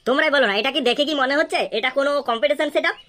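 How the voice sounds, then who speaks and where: Man voicing a fast, squeaky, cocky cartoon lad. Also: over loud music. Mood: mocking, defiant. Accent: West Bengal. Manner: brash, argumentative, boastful. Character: cocky, foul-mouthed young Bengali cartoon troublemaker. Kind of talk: comedy cartoon dialogue.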